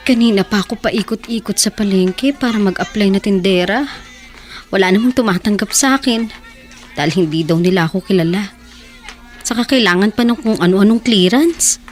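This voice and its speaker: A frustrated young woman , acted by a woman looking for work in a radio drama. She grumbles in exasperation at a medium pitch, with faint music behind. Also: normal speed, close to an everyday voice. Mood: frustrated, grumbling. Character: frustrated, complaining young woman job seeker.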